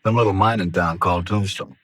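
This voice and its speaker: An actor playing a sickly, courtly gunfighter in a radio Western, dry and unruffled, with an American accent and a husky voice. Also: male voice, deep voice, fast, fairly bright tone. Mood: relaxed, friendly. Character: sickly, courtly gambler gunfighter, wryly cool and dangerous. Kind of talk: 1950s radio Western acting.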